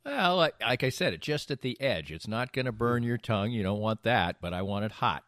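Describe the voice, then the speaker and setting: A man's voice: medium pitch, lively intonation, fairly quick, fairly bright tone, no room sound, studio quality. A middle-aged man in casual banter, rambling and emphatic, often repeating himself as he goes. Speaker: radio show and podcast host.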